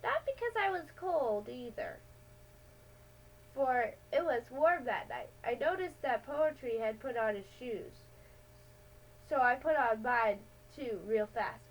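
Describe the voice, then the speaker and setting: High-voiced woman reading an adventure story slowly. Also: expressive, rough recording. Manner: halting, with stumbles and self-corrections. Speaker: amateur story reader.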